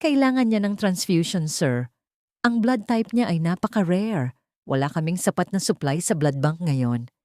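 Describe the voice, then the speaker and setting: A woman's voice: fairly low pitch, animated, normal speed, dark tone, no room sound, clean studio sound, lightly acted. Woman as an urgent, formal doctor. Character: urgent doctor addressing a patient's family.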